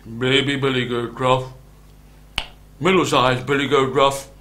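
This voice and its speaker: Man playing a big storybook billy goat, wistful, deliberate, calling out. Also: mid-range voice, little pitch movement, sharp tone, a little hiss or hum.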